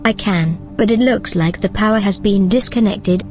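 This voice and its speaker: A woman playing a calm, formal and helpful artificial intelligence assistant in an audio drama, measured and matter-of-fact. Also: medium pitch, soft background music, natural voice. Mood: composed, helpful.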